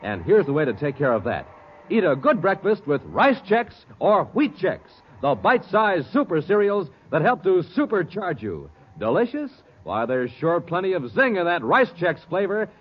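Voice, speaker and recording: A man's voice: fairly high pitch, breathy edge. A man announcing and narrating in 1950s radio style, punchy and theatrical. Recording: muffled, hissy old radio recording.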